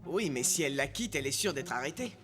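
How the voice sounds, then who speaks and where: A man's voice: medium pitch, expressive, fast, music in the background, natural voice. A man playing a comic, anxious, self-concerned husband in a French cartoon dub, fussy and protesting. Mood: wary.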